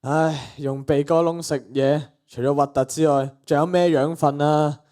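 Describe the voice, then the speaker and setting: A man's voice: a little high, fairly even intonation, a little slow, a little mellow, close to an everyday voice. A teenage boy with a Cantonese accent playing an exasperated, principled young content creator in a radio play, with a sighing, grumbling manner. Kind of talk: student radio play acting.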